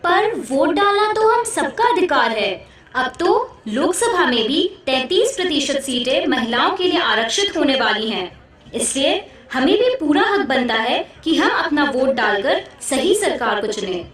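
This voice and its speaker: An outspoken wife played by a woman argues firmly in a puppet show. Her voice is high and very animated, heard with heavy echo in a large space. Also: a little slow, exaggerated voice. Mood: insistent. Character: dutiful, outspoken wife, firmly arguing back. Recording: very echoey hall, distant mic.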